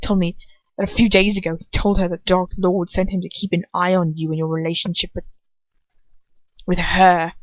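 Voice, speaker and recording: A woman's voice: lowish voice, flat delivery, moderate pace, dry recording, lo-fi sound, close to an everyday voice. A woman voicing a defiant, sneering young wizard son who mocks his father in an audiobook-style story reading, with emphatic, incredulous delivery. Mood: triumphant.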